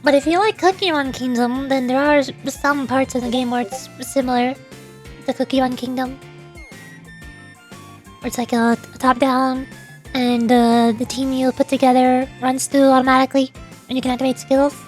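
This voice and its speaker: Female game streamer, high voice, casual off-the-cuff chat, music faintly behind. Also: fairly even intonation, moderate pace. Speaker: vtuber streamer.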